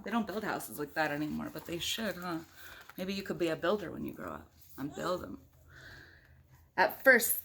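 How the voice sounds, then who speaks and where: A woman's voice: lowish voice, a bit bright, slight background noise. A woman reading a picture book aloud to young children in a conversational way, with chatty asides and questions to her listeners.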